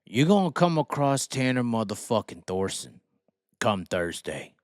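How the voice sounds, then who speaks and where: A man's voice: medium pitch, sing-song, a little slow, dry recording, clean studio sound. A man giving opinionated racing commentary in a brash, emphatic, profanity-heavy way, repeating phrases to drive them home. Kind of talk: opinionated solo rant commentary.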